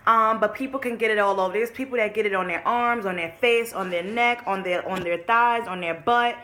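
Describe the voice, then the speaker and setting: A woman's voice: medium pitch, fairly quick, sharp tone. A woman in her early twenties telling her story to viewers in a candid vlog, frank and casual, swearing freely and calling her audience y'all. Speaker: vlogger.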